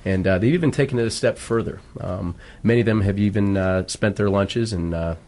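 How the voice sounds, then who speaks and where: A man speaking as a school football coach in a radio interview, earnest and halting as he explains. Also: on the low side, darkish tone.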